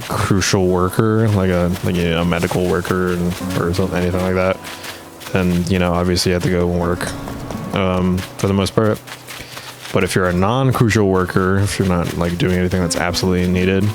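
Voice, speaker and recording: A young man with a very deep voice that sounds worn and a little rough, chatting loosely while he plays. He rambles, trails off and restarts often. Clear game music plays underneath him. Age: young adult. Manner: rambling, many fillers and restarts. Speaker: gaming video host. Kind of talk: casual gameplay commentary.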